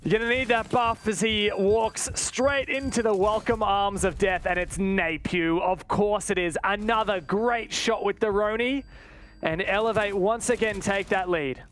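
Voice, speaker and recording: A man's voice: high-pitched, measured pace, fairly bright tone, slight background noise. A man giving live esports play-by-play commentary with dramatic, colloquial phrasing.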